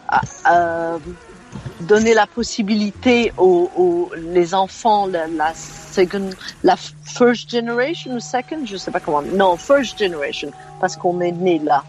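A woman in her fifties from Belgium, calling into a radio show by phone and giving her opinions frankly, often restarting her sentences. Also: mid-range voice. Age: 50s. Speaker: radio caller. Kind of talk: call-in radio chat.

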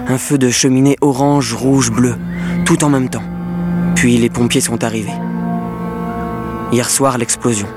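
An actor playing a troubled technician in an audio drama, speaking poetically in short, halting, urgent phrases. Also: male voice, medium pitch, fairly even intonation, over loud music, lightly acted. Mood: troubled, pensive. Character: troubled masked male technician pleading with his measuring device. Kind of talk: French audio fiction monologue.

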